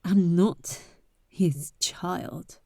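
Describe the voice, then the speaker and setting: Bold young adventurer played by a woman for roleplay audio drama: soft, dark tone, very dry close sound, teasing and impatient yet caring. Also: lowish voice, extremely slow, vocal fry, dry recording, heavily acted. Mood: exasperated.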